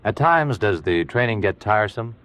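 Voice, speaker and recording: A man's voice: lowish voice, expressive, moderate pace, fairly bright tone. A man with an American accent interviewing as an announcer in a scripted 1940s radio style.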